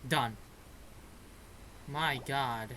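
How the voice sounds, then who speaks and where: A man's voice: medium pitch, very slow, background noise. A young man giving casual, slangy, exclamatory reaction commentary while playing a video game. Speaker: gaming video host.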